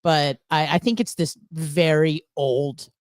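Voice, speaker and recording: A woman chatting casually and telling stories in a crude, profane, animated comic way. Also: sits low, slow, dry recording, studio quality. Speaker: co-host.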